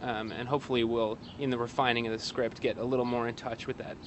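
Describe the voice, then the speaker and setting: Man, medium pitch, casual interview guest, hesitant wry delivery, outdoor city alley, light street noise. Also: flat delivery, quick pace. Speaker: guest, actor and playwright.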